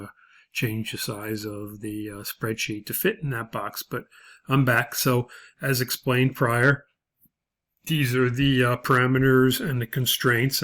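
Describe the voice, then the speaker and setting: A man narrating an unscripted, step-by-step spreadsheet tutorial as an instructor, in a halting way. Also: medium pitch, fairly bright tone.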